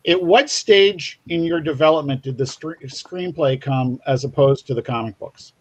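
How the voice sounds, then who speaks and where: A man's voice: fairly high pitch, normal speed. A man co-hosting a casual interview over an online call with muffled audio, framing his questions hesitantly. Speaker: co-host, interviewer. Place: remote online video call.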